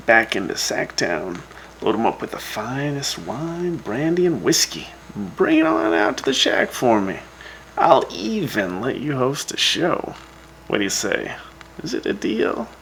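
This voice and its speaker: A man's voice: medium pitch, fairly bright tone, slight background noise, low-quality recording. A man hosting a radio show in casual DJ patter, with a laid-back, wry and folksy way of talking. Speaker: radio DJ host.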